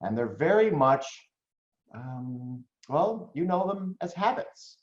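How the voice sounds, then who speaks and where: An American man delivering a coaching talk with a lively, swinging pitch. He talks conversationally to his audience, tossing in frequent questions. The audio is roomy and a bit dull, like a lo-fi room recording. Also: takes it slowly. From United States. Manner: animated, conversational, frequent "right?" tags. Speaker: business coach.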